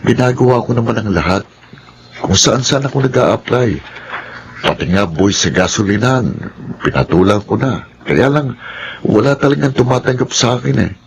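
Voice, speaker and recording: A man's voice: mid-range voice, expressive, moderate pace, gravelly, long pauses, slight background noise. An actor playing a remorseful, struggling driver and father in a radio drama, apologetic and weary. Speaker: narrator and lead.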